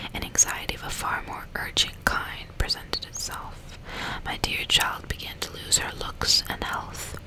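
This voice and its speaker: Whispering ASMR woman. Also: poor audio. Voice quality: breathy, unvoiced whisper. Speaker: ASMR host reading a novel aloud. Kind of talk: whispered ASMR, chat and audiobook-style reading. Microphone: close ASMR microphone.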